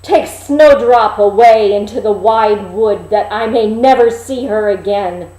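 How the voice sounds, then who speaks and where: A woman's voice: medium pitch, unhurried, warm tone, echoey room, lightly acted, rough recording. A woman voicing a vain, jealous queen in an imperious, theatrical manner. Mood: enraged, commanding.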